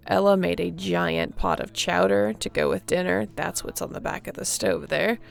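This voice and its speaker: Woman playing a creaky-voiced, laid-back surfer girl. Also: on the low side, normal speed. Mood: cheerful, hospitable. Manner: laid-back surfer slang, chuckling, welcoming. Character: friendly, easygoing young surfer girl hosting a holiday dinner. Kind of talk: audio roleplay, casual chat to the listener.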